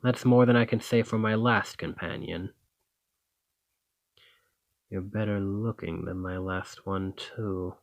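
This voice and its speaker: A man's voice: deep voice, steady tone, takes it slowly, creaky, pauses often, dry recording, heavily acted. A man playing a dominant, teasing fantasy thane in an ASMR roleplay, commanding and flirtatious. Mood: wry, teasing. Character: dominant Nordic fantasy thane, teasing, confident warrior lord. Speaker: roleplay audio performer. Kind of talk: ASMR fantasy roleplay acting, addressing the listener.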